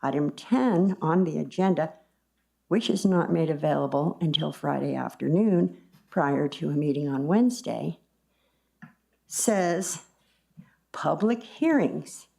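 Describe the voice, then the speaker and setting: A woman giving deliberate, pointed public comment at a village meeting. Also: low-pitched, gravelly, mellow.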